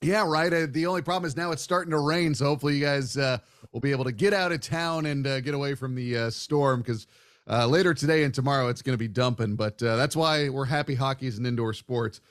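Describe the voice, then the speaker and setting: A man's voice: medium pitch, fast. A man hosting a sports show, talking conversationally as he gives hockey commentary and puts questions to a guest.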